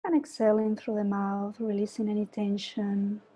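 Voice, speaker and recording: A woman from Peru, a mindfulness teacher, giving an online talk and gently guiding a meditation over a video call. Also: mid-range voice, flat delivery, breathy edge, rough recording. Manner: slow, soft guiding voice with gentle invitations. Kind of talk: online presentation and guided meditation.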